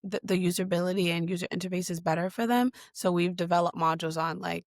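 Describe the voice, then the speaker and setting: A woman from Boston, a guest in an interview, answering in a conversational way with many pauses, fillers and restarts. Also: fairly low pitch, steady tone, no echo, clean studio sound. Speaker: guest, digital equity leader.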